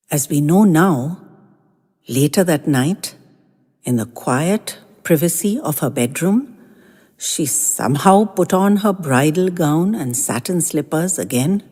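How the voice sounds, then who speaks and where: A woman narrating audio fiction in an unhurried, expressive storytelling manner. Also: low-pitched, breathy edge. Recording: long reverb, faint music underneath.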